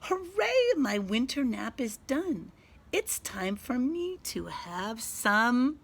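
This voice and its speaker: A woman voicing an excitable, fretful female skunk in a children's storybook, calling out and exclaiming. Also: a little high, slow, vocal fry, breathy edge, pitched above the performer's own voice, full character voice. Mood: cheerful, excited. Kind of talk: children's storybook read-aloud.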